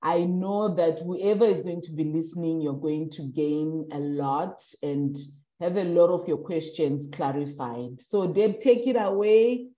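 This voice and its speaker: Slow, mellow young woman interviewer. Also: low voice, reverberant, poor audio. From Southern Africa. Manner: hesitant, many fillers. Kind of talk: casual interview.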